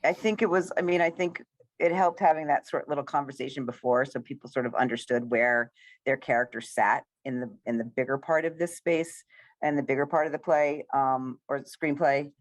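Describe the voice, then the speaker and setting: A woman's voice: very deep voice, fairly even intonation, brisk, no echo. A woman screenwriter talking casually in a question-and-answer session, rambling and full of praise for her actors. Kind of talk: casual post-reading Q&A talk.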